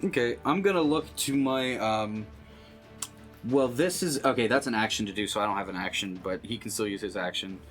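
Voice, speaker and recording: A man's voice: fairly low pitch, fairly quick. A man playing a tabletop game, chatting casually and thinking aloud with some hesitation. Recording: music faintly behind the voice. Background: background music.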